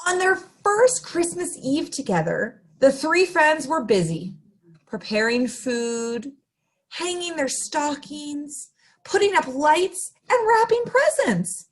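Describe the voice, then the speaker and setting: A woman's voice: sits high, big pitch swings, takes it slowly, rough recording. A woman hosting story time, reading to young children in an exaggerated, sing-song, interactive way. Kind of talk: animated picture-book read-aloud for young children.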